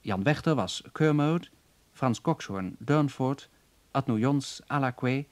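Slow, dry-sounding male radio announcer. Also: medium pitch, animated, darkish tone, no echo. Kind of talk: scripted credits announcement.

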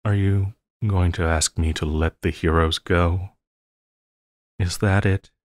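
Man acting deep, slow, obsessive lovesick villain. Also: steady tone. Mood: tender, menacing. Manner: halting, crooning, breaking into laughter. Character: obsessive, lovesick male supervillain, sinister and pleading. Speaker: audio roleplay performer. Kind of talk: intimate audio roleplay acting.

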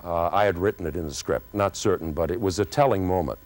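A man's voice: low-pitched, fast, heavy background noise. A middle-aged man telling a story to camera in a dramatic way, acting out remembered dialogue. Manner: dramatic, acts out quoted dialogue. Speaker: former radio program director recalling a broadcast. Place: TV studio stage.

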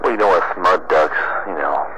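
A man's voice: sits low, normal speed. A man chatting casually as a CB radio operator, heard over a radio transmission. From United States. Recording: very noisy CB radio reception. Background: loud radio static.

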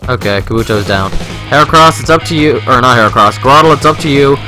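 A man's voice: medium pitch, quick pace, over music. A man giving casual, informal let's-play commentary, rambling and thinking aloud into a close microphone. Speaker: gaming video host.

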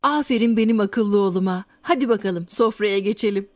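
A woman playing a caring, wise mother in a children's cartoon, speaking in an affectionate, gently instructive way. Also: mid-range voice, fairly quick, no echo, clean studio sound, natural voice. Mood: gently approving.

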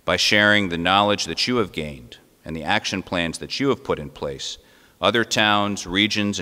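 A middle-aged man with a very low, bright and forceful voice reads a formal speech at a podium. Every phrase rings out into a long hall reverb. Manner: formal, reading from notes. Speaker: federal economic development official. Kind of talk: prepared speech read aloud. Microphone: podium microphone.

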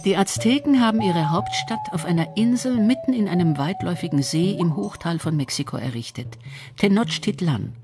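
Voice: mid-range voice, animated, normal speed. Documentary narrator with music behind.

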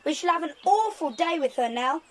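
A cheerful young boy adventurer in a children's audio play. The voice is very high, chirpy and matter-of-fact. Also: animated, fairly quick, a little hiss or hum. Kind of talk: children's audio drama acting.

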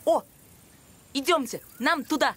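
A woman voicing a curious, determined young boy with an earnest manner in an animated cartoon. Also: high-pitched, a little slow, background noise. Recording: music under the voice.

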